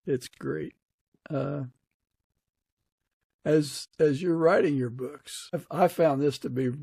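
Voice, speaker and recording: A man with a slightly high, gravelly voice hosts a podcast interview. He speaks casually and conversationally, and the sound is close and dry. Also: dark tone, no echo.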